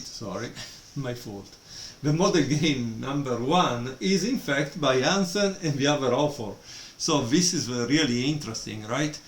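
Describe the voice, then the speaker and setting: Hesitant man, echoey room. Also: mid-range voice. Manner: hesitant, many fillers. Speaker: book reviewer. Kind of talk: casual video review. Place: room at home, storm outside. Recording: echoey room with faint noise.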